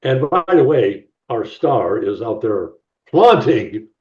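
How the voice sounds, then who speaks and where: A man's voice: mid-range voice, very animated. A middle-aged man, an actor, chatting casually in an interview and often repeating words as he gets to his point. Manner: halting, repeats words as he talks. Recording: roomy, distant, dull call audio.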